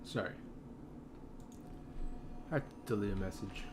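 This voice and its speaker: A man with a fairly low voice casually narrates his gameplay as a streamer. He talks in an offhand mutter, half to himself, with very loud game noise behind him. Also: slow. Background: video game sound effects.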